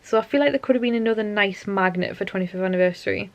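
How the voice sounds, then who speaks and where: A woman's voice: medium pitch, racing, dry recording, muffled recording. A young woman, tired and losing her voice, rambling chattily to camera at home at night. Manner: rambling, chatty, self-interrupting. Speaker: vlogger. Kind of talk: casual chatty monologue to camera.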